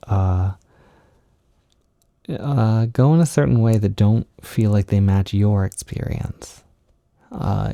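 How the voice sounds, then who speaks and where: A man giving an intimate, informal comfort monologue, speaking in a gentle, reassuring but hesitant and self-deprecating way. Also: on the low side, gravelly, no echo, studio quality. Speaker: audio creator giving comfort and validation.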